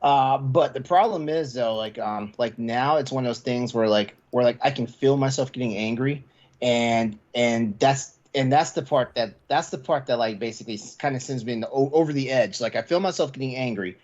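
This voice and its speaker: A male podcast co-host talks candidly at a middle pitch. He rambles, circling back over words and phrases for emphasis, and swears freely. The recording is a little dull and lo-fi. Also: normal speed, a little mellow. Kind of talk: casual candid chat. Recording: slightly dull, lo-fi voice track.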